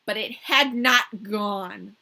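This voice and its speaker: A woman's voice: medium pitch, takes it slowly, gravelly, pushed and bright, low-quality recording. A woman reading a fairy tale as a storyteller, informal and playful with her listener. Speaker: storyteller, podcast host. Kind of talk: scripted fairy tale narration with casual asides.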